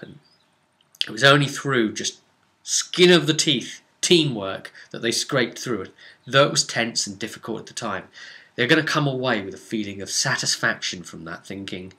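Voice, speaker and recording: Man, crisp bright tone, average pitch, game master, casual tabletop recap, explanatory and chatty, dramatic asides. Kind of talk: casual recap of a tabletop role-playing session.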